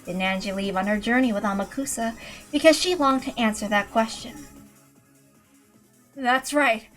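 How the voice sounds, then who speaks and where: A woman's voice: fairly high pitch, moderate pace, fairly bright tone, faint music behind. A woman reading scripted visual novel narration in a dramatic style, as narrator. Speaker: narrator and reader.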